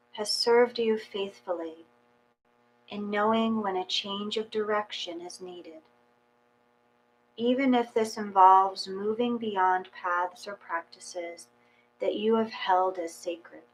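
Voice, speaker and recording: A woman reading a guided meditation aloud in a slow, calm, measured way with long pauses. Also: mid-range voice, poor audio. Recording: faint mains hum.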